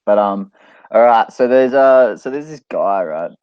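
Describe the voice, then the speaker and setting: A man chatting and telling jokes in a halting, rambling way as a podcast guest. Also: lowish voice, expressive, clear, resonant tone, no echo, muffled recording. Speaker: band member, podcast guest. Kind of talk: casual joke-telling and chat.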